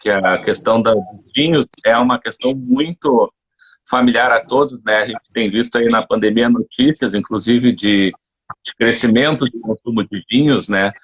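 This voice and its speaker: A man hosting a relaxed online live interview, talking informally with lots of fillers. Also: medium pitch, moderate pace, slightly rough, dull audio. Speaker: host.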